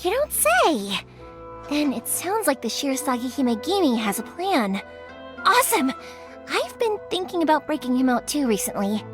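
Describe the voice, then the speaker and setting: A high, very lively young female shopkeeper from an animated game. She is cheerful, eager and breezily colloquial. Faint music sits behind her. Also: normal speed. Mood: eager, plucky. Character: cheerful young female fireworks shop owner, eager and friendly. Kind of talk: animated video game voice acting.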